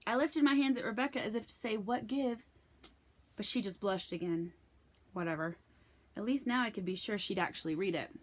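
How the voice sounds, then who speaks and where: A woman giving an expressive scripted reading of a children's novel as narrator. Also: mid-range voice, brisk. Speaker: storybook reader.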